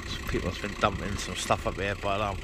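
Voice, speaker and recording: A man's voice: fairly low pitch, level tone, a little fast, sharp tone, slight background noise. A man vlogging casually and talking on the radio from inside a parked car, addressing viewers informally. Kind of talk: casual vlog chat and radio talk.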